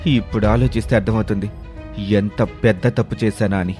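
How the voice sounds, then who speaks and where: A man's voice: on the low side, fairly even intonation, fairly quick, mellow, faint music behind, lightly acted. A man voicing a self-pitying, irritable young husband in a story, complaining resentfully. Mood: bitter, irritated.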